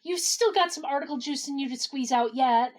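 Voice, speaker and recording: A woman playing a brisk, wry gnome adventurer in a comedy audio drama. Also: high voice, close to an everyday voice. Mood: wry, curious.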